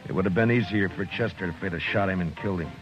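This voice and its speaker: A man playing a grim middle-aged frontier marshal with a very deep voice. He speaks in a low, measured, restrained way. The sound is a dull old radio broadcast with faint music underneath. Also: close to an everyday voice. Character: stern, quietly grim male frontier marshal. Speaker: marshal and narrator. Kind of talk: 1950s radio drama acting with narration.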